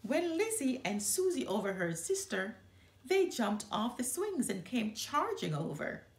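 A middle-aged woman reading a children's story aloud with expressive narration in a living room. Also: on the high side, measured pace. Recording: roomy indoor echo.

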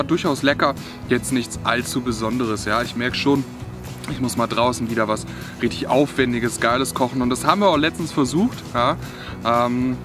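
A young man chatting casually and colloquially to camera in a laid-back way, outdoors in the woods. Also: medium pitch. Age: young adult. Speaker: outdoor vlogger.

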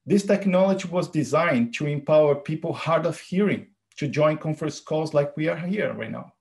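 Middle-aged man, earnest presenter, slightly high voice, explanatory with fillers. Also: lively intonation, moderate pace, creaky, a little mellow. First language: Portuguese. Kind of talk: tech presentation talk.

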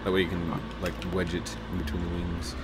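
A man's voice: sits low, completely flat delivery, a little fast, music in the background. A middle-aged man chatting casually as he hosts a gameplay session, coaching and encouraging a co-player. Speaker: gaming channel host. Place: indoors, video game livestream setup.

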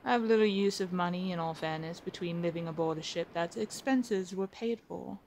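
A woman's voice: fairly low pitch, normal speed, a little mellow, slight background noise, close to an everyday voice, rough recording. Woman acting a defiant, wry captive lady admiral. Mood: cool, bargaining. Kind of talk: ASMR roleplay acting.

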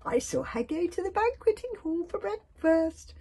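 A flirtatious female dragon from a storybook, acted by a woman, wheedles sweetly and daringly. The voice is pitched high and very lively. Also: normal speed, heavily acted. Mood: coaxing.

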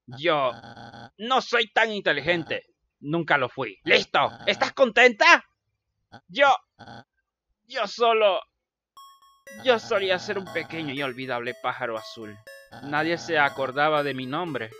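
A vain, insecure young bird from a video game fandub, acted out by a man. The voice is highish and very animated, with theatrical, self-important halting delivery. Music plays behind it. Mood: dejected, confessional. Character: vain, dramatic young male blue bird, insecure know-it-all.

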